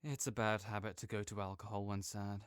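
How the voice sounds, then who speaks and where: A young man playing a caring, easygoing friend who speaks gently and reassuringly to the listener in an audio roleplay, with some soft joking. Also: fairly low pitch, level tone, a little fast, clean tone, dry recording, studio quality, close to an everyday voice.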